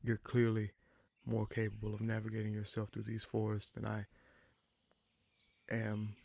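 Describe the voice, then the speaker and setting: Casting: male actor for wounded human bandit leader, lower register, gruff yet kind, halting and reflective, intimate fantasy roleplay addressed to the listener. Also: completely flat delivery, a little mellow. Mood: thoughtful, suspicious. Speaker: audio roleplay performer.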